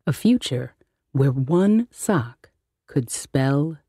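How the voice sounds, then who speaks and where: A woman's voice: extremely low pitch, expressive, drawn-out words, mellow, slight background noise. A woman narrating a short story in a dramatic audiobook style.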